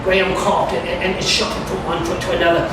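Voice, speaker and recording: A man's voice: sits high, level tone, a little fast. A man reading fiction aloud at a live event, now and then stumbling over and repeating words. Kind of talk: live literary story reading. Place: live event at a steampunk carnival. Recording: echoey distant recording, loud event noise. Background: loud ambient event noise.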